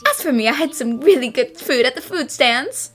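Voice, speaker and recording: A woman playing earnest, expressive young female characters in an anime-style detective fan dub. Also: sits high, normal speed. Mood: narrating.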